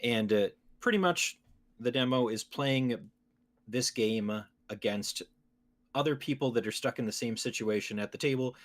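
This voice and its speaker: A man's voice: mid-range voice, moderate pace. A man talking casually about video games as a podcast co-host.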